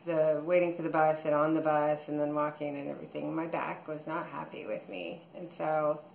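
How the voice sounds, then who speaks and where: A woman's voice: low voice, steady tone, normal speed, gravelly, darkish tone, a little hiss or hum. A woman in her thirties recording a casual video diary at home, rambling with many fillers and restarts. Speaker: vlogger. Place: small room at home, indoors. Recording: echoey room.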